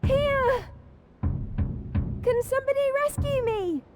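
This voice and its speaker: A woman's voice: extremely high pitch, expressive, slow pace, full character voice. A woman playing a frightened, stranded soldier in a video game, crying out and pleading. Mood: desperate. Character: frightened, stranded female squad survivor pleading for rescue. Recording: distant voice under loud music.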